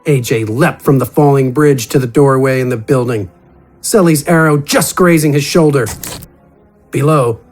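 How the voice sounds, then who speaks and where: A man's voice: mid-range voice, moderate pace. A man reading scripted fantasy adventure narration in a dramatic storytelling style. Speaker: narrator and voice actor. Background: music.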